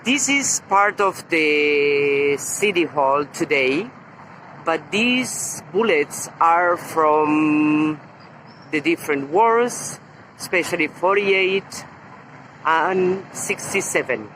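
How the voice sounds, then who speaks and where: Slow-talking Argentine woman tour guide. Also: low-pitched, lively intonation, low-quality recording. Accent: Argentine Spanish. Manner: slow, explanatory, points out sights. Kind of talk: virtual walking tour. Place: outdoors on city streets and a tram. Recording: loud street and traffic noise.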